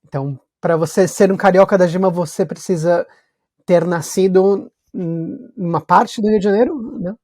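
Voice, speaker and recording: Mellow-voiced Paulista host. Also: male voice, high-pitched, normal speed. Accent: Paulista Brazilian Portuguese. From São Paulo, Brazil. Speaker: podcast host. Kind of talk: casual conversational interview.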